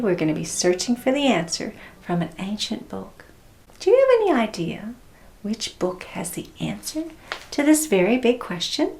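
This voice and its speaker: A woman doing an expressive, interactive storybook read-aloud for children, speaking deliberately and prompting listeners with questions. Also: mid-range voice, vocal fry, slight background noise. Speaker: children's religion teacher reading aloud.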